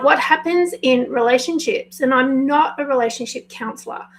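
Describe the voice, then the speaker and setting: A woman life coach speaking live and unscripted, her voice husky today, talking slowly and choosing her words with care. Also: on the high side, fairly bright tone, rough recording. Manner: slow, pausing, careful with words, apologetic. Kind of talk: spontaneous live video talk.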